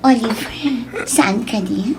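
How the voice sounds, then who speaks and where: A woman's voice: on the high side, takes it slowly, creaky, a little mellow. A woman with an Ilocano accent acting a flirtatious other woman in a radio drama. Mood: teasing, flirty.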